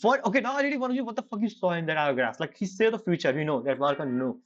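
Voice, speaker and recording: A man's voice: on the high side, animated, racing. A man streaming a video game, giving casual reaction commentary. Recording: dull audio over game music.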